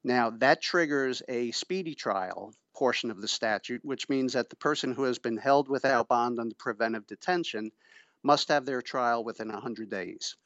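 A middle-aged man giving measured, formal and emphatic explanations as an expert guest in an interview. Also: medium pitch, a bit bright, dry recording, highs cut off. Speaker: interviewee, pretrial services official and former prosecutor. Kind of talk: explanatory radio interview answers.

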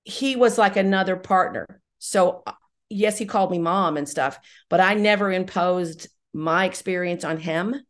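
A middle-aged woman speaks as a guest in a podcast interview, in a voice pitched on the low side. She is enthusiastic and emphatic, repeating herself as she explains in a conversational way. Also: moderate pace. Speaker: podcast guest, audio drama writer-director.

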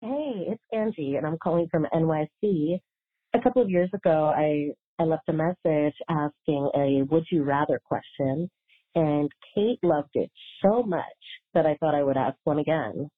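A woman's voice: low-pitched, normal speed. A woman leaving a casual voicemail for a show as a caller, recorded over the phone. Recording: tinny narrow phone line.

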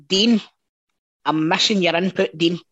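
A man's voice: high-pitched, measured pace, fairly bright tone, highs cut off, a little hiss or hum. A man in his late thirties with a Scottish accent, hosting a podcast with casual, sweary, blokey banter. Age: late 30s. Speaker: podcast host.

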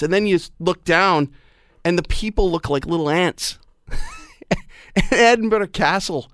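A man hosting a radio talk show in a studio, chatty and excitable, with lots of fillers. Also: a little high, dry recording. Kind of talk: casual radio chat.

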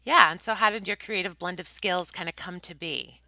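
A woman's voice: on the low side, a little fast, creaky, pushed and bright, dry recording. A woman hosting a podcast, asking open questions in a chatty way with loose phrasing and many fillers. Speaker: podcast host. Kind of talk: casual interview chat.

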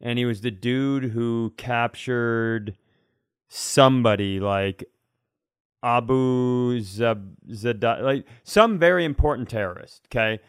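Guy comedian on a podcast, smooth clear voice, real dry close sound, rambling story with acted-out bits. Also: mid-range voice, takes it slowly, no echo. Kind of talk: casual comic storytelling.

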